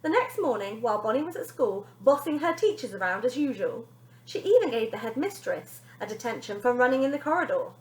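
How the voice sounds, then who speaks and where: A woman teacher reading a children's story aloud in an expressive narrating style. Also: on the high side, brisk. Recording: echoey room.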